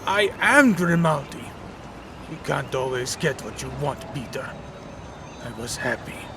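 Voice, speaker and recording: A man voicing a melancholy, lovelorn villain in a comic dub, wistful and halting, on a noisy home recording. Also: fairly high pitch, expressive, pushed and bright, full character voice. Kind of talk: comic dub dramatic acting.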